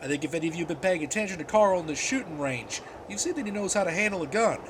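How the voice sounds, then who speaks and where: A man's voice: high voice, lively intonation, very fast. A man playing an earnest, protective father who leads a survivor camp, firm and reassuring, in a comic dub. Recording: noticeable background ambience noise.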